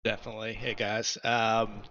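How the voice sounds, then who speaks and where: Man, race driver interview, halting restarts, bright tone, lo-fi audio, faint noise. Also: lowish voice, monotone. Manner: hesitant with repeated restarts, laughs easily.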